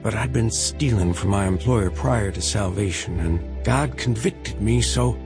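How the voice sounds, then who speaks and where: A man's voice: on the low side, a little slow. An actor playing a devout older father, a reformed drinker, narrating his life story in an earnest, emotive way for an audio drama. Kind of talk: dramatized first-person narration. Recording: music bed under the voice.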